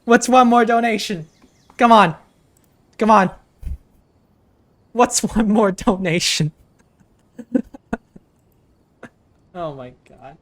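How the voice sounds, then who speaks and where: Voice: medium pitch, takes it slowly, long pauses. A streamer giving casual reaction commentary on a game, repeating phrases in an informal, exclaiming way.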